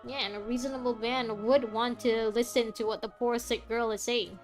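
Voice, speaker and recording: A woman's voice: on the high side, fairly even intonation, normal speed, soft background music. A woman streaming a game with casual, sarcastic commentary, talking back to the characters.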